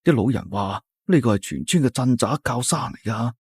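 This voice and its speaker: A jittery, superstitious young villager from a Cantonese storybook, as a male narrator puts him on, his voice swinging widely from a hush to a shrill squeal. He speaks with a Cantonese accent, dry and close to the mic. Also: lively intonation, normal speed, husky, no room sound. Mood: hushed warning.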